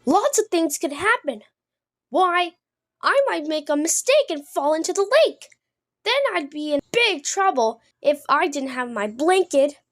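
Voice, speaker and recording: A woman's voice: high voice, expressive, unhurried, no room sound, clean studio sound, pitched above the performer's own voice. A woman voicing an anxious, clingy young male baby frog in a storybook, fretful and earnest.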